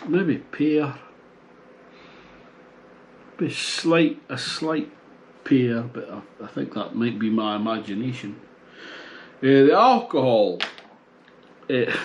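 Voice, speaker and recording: An older man in his sixties talks slowly and unhurriedly, musing aloud with frequent pauses as he reviews a beer. He sounds relaxed and casual, picked up by a camera across the table in a small home room with a faint hum behind him. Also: mid-range voice.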